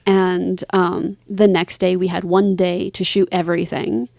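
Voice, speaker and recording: A woman's voice: lowish voice, flat delivery, unhurried, gravelly, a little mellow, no room sound, studio quality. A woman talking casually as an interview guest, explaining things thoughtfully in long linked phrases. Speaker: interview guest, singer, author and voice actor.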